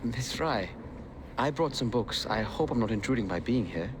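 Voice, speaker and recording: An actor playing a shy, courteous, bookish man in a video game, speaking formally and gently. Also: mid-range voice, lively intonation, normal speed, noisy background, natural voice. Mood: hesitant. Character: polite, shy, bookish male assassin mentor. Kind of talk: video game cutscene acting.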